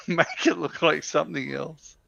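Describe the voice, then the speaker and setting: A man's voice: fairly high pitch, fairly even intonation, a little slow, gravelly, pushed and bright, a little hiss or hum. A man chatting casually as a livestream co-host in a rambling, enthusiastic way, on a lo-fi microphone. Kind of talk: casual hobby chat. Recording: dry, dull lo-fi microphone.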